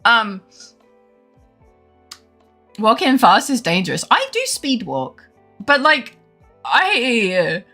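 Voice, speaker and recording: A young woman streams a game and chats away in a high, bright voice that leaps all over the place. She swears freely and laughs often, with soft game music underneath. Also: slow, long pauses. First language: English. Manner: animated, swearing, frequent laughter. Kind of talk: casual chat while gaming.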